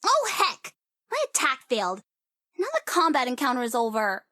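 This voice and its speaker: High-pitched, cocky sorceress. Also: female voice, very animated, moderate pace, pushed and bright, no echo, studio quality, heavily acted. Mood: flustered. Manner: theatrical, teasing, swinging into wry asides. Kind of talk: video game character voice lines.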